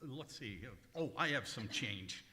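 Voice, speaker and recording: A bright, forceful, highish voice rings through a hall as a man acts out a fussy male comedian from 1940s radio. He hems and hesitates, swings up and down in pitch, and sounds easily put out. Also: lively intonation, heavily acted. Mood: muttering. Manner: hesitant, hemming and drawn-out delivery. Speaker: stage actor in old-time radio revue. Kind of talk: 1940s radio comedy acting before a live audience. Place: large echoey museum room, live stage. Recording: echoey hall recording of stage show.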